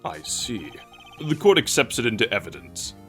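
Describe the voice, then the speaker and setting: A man voicing a solemn, slightly befuddled judge in a game fandub, formal and deliberate. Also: medium pitch, very animated, a bit bright. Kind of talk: comedic video game fandub. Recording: faint game music behind.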